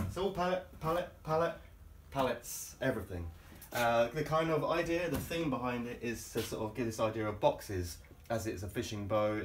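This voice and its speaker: A man giving a chatty, wry, informal guided tour in a hesitant way. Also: mid-range voice. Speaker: boat owner and builder giving a tour. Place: large cluttered covered deck space inside a boat. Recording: echoey boat interior with background noise.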